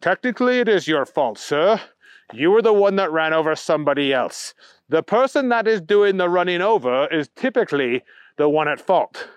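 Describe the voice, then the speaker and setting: Man playing a high-pitched, indignant, pompous storybook bunny. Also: expressive, moderate pace, smooth, no echo, lightly acted. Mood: prim, matter-of-fact. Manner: prim, formal, lecturing. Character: indignant, fussy, pompous talking Easter Bunny, male. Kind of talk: children's storytelling character voice.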